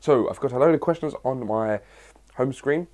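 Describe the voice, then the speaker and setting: A young man from England with a cold and a hoarse voice, chatting his way through a casual Q&A vlog. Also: medium pitch, moderate pace, a little mellow. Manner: chatty, rambling, reads questions aloud. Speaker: fitness vlogger.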